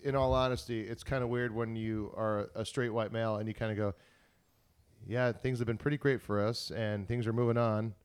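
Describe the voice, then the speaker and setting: A man's voice: fairly low pitch, steady tone, moderate pace, clear, resonant tone. Rambling, self-deprecating man chatting. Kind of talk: casual group chat.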